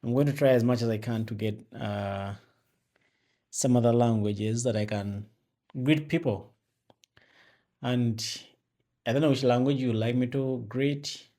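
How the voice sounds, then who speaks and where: A man's voice: medium pitch, a little slow, pauses often, dark tone. A young Ugandan man with a Ugandan accent, hosting his own vlog and talking casually to camera, rambling with fillers and restarts, on an external mic into a phone. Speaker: vlogger host.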